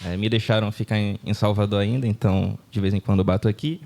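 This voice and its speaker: A Brazilian man from the interior of Bahia, a head of engineering, introducing himself casually and haltingly at a meetup. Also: lowish voice, level tone, vocal fry, a little mellow.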